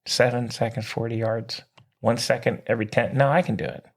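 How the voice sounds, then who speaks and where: A man's voice: mid-range voice, fairly even intonation, fairly quick. A man co-hosting a podcast in casual, joking sports chat, boastful and folksy, often repeating himself. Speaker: podcast co-host.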